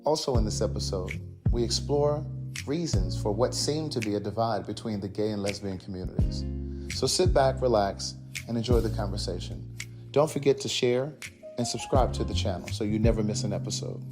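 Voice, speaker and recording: A middle-aged man hosting a relaxed conversation, friendly and chatty, often restarting his sentences. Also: lowish voice, fairly quick, over music. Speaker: host. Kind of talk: relaxed interview chat.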